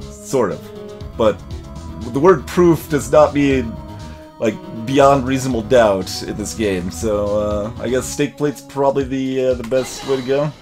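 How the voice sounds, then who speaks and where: A man's voice: medium pitch, measured pace, darkish tone. A man hosting a let's play with casual, hesitant commentary, rambling as he thinks aloud. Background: game music.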